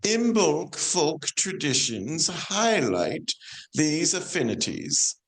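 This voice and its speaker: Dignified female saint for a stage play, slow and melodically varied played by a woman. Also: deep voice, big pitch swings, a little hiss or hum, exaggerated voice, rough recording. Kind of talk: amateur stage play, scripted monologue. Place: church hall, live performance.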